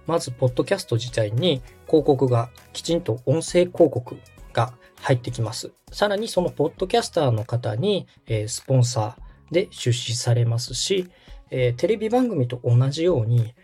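A man in his thirties from Osaka hosts a podcast and explains things politely, pausing with fillers. His voice is husky and mellow, and his pitch moves a lot. Faint music plays behind him. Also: expressive. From Osaka, Japan. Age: 30s. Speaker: podcast host and radio director. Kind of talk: explaining casual chat.

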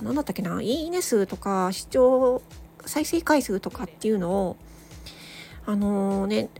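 A woman hosting a solo audio show, chatting casually and reflectively to listeners, thinking aloud with hesitant pauses. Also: a little high. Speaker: solo audio channel host, amateur voice actor.

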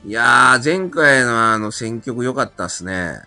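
Bright-voiced man chatting as a guest. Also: mid-range voice, expressive, a little slow, no echo, faint music behind. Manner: polite, folksy phrasing. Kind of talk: casual chat.

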